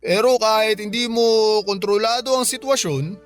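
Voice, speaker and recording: A man's voice: high voice, normal speed, faint music behind, natural voice. A man playing a tipsy, lovelorn middle-aged uncle with a Filipino accent, reflective and emphatic, in a radio drama. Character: tipsy, lovelorn uncle abandoned by his partner. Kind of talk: Tagalog radio drama acting.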